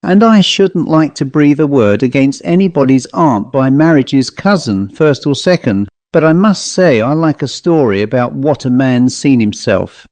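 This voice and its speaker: A man's voice: medium pitch, expressive, moderate pace, hardly any pauses, a little mellow, no echo, close to an everyday voice. A man voicing a smart, dapper travelling salesman, opinionated and assertive, in a story reading. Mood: critical.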